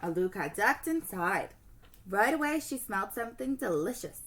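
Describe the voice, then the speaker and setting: A woman teacher reading a storybook aloud to children in an expressive storytelling manner. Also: mid-range voice, normal speed.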